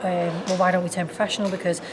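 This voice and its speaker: Woman, Northwest English accent, young adult, low voice, chatty, laughs a lot, noisy background. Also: level tone, very fast. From Warrington, England. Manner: chatty, laughs often, many fillers. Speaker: professional boxer. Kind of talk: casual interview answers.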